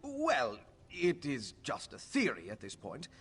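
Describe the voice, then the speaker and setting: A man's voice: mid-range voice, huge pitch swings, measured pace, fairly bright tone, slightly rough, soft background music, exaggerated voice. An actor playing an earnest, scholarly male alchemist mage in a video game, explaining things in a learned, formal way. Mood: cautious, earnest.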